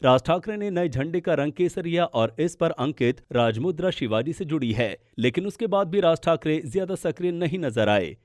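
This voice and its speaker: A man's voice: on the high side, animated, moderate pace, few pauses, darkish tone, no room sound, studio quality. A man narrating a scripted political explainer as a news podcast presenter.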